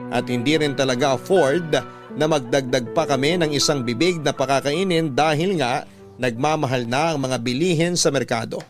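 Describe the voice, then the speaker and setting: Higher-pitched man narrating over music. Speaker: radio drama narrator. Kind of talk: scripted first-person letter narration, classic radio storytelling. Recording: prominent music behind narration. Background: instrumental music.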